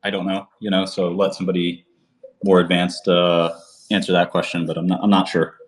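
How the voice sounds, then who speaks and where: A man's voice: deep voice, level tone, fairly quick. A man from Albania talking casually on a livestream, rambling with many fillers and restarts. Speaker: podcast host.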